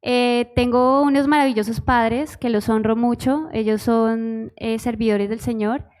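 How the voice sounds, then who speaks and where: A woman's voice: on the high side, fairly even intonation, measured pace, a little mellow. Giggly young woman from Bogotá. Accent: Bogotá Spanish. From Bogotá, Colombia. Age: 20s. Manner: shy, giggly, hesitant with many fillers. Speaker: church musician, pastor's wife. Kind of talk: personal testimony. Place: church.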